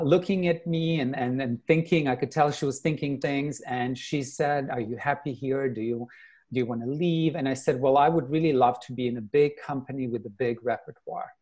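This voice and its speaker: A middle-aged man from Serbia, a ballet dancer telling his life story in an interview, thoughtful and unhurried. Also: mid-range voice, darkish tone.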